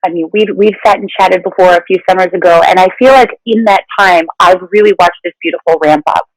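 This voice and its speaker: A woman's voice: fairly low pitch, a little fast, no room sound, rough recording. A woman hosting a casual conversational interview in an effusive, encouraging manner. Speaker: podcast host.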